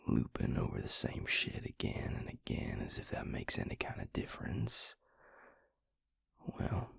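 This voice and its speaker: A man playing a weary, grieving vampire lover, tender and gruff, in an intimate audio roleplay with a husky, gravelly murmur. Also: wildly swooping pitch, a bit bright, no echo, in a whisper, studio quality, exaggerated voice. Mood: weary, brooding. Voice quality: husky, breathy, gravelly low voice. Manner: slow, halting, murmured, with sighs.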